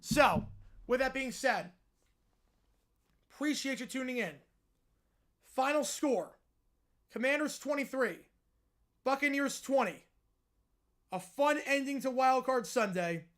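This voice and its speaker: A man hosting a live sports reaction stream, chatting casually and answering viewers, his voice hoarse and worn out. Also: very high voice, expressive, takes it slowly, pauses often, a bit bright. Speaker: livestream host.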